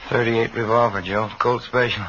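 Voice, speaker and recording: An actor playing a practical, plainspoken police detective partner in a 1950s radio drama. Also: male voice, medium pitch, level tone, moderate pace, fairly bright tone, natural voice. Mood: businesslike. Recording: very noisy, dull 1950s radio recording.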